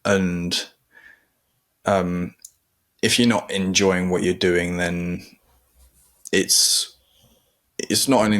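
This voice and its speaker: A male podcast host chats with his guest in an easy, encouraging way. His voice sits low, and he talks in long, loose sentences. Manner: relaxed, chatty, encouraging. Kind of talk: casual interview chat.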